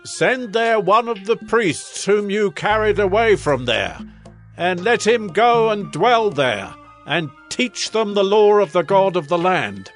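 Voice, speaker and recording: An ancient king in a scripture audio drama. His voice is high and slow, measured and imperious, with music underneath. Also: animated, heavily acted. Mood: commanding. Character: king of Assyria, imperious male ruler giving orders.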